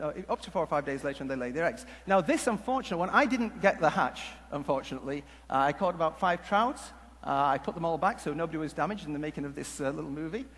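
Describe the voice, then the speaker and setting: A man giving a humorous conference talk, telling stories in a jokey, halting, rambling way. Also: a little high. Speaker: conference speaker. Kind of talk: humorous stage presentation with storytelling. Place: large conference hall before an audience. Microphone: headset mic. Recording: echoey hall PA with background noise.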